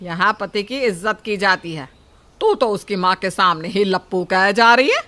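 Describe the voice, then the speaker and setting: एक महिला, कार्टून नैतिक कहानी की डाँटती, लालची और हुक्म चलाने वाली सास का अभिनय करती हुई।. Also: female voice, mid-range voice, normal speed, pushed and bright, natural voice. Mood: bossy. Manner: nagging, bossy. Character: scolding, greedy mother-in-law with a sharp tongue. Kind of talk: animated moral story.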